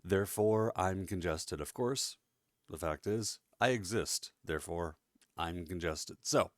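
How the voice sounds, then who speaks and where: A man's voice: deep voice, measured pace, dry recording, studio quality. A congested, sniffly man hosting a casual gameplay commentary, chatty and joking as he rambles along. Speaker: let's-play host.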